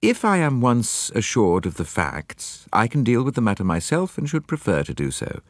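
A man's voice: mid-range voice, huge pitch swings, normal speed, dry recording, studio quality. A man reading as a formal, cautious old lady. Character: anxious elderly woman writing a letter.

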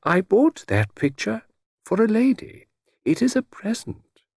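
A man voicing a courteous, fussy older gentleman in an audiobook, with old-fashioned, hesitant politeness. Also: sits high, wildly swooping pitch, a little slow, creaky, husky, no room sound, clean studio sound, voice pushed up, heavily acted. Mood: regretful.

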